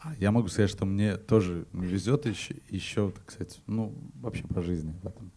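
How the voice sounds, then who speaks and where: A hoarse, middle-aged man speaking haltingly to a concert audience, seated at a stand mic with his guitar on a small stage. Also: sits low, mellow. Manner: halting, with many restarts and fillers. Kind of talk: informal stage talk.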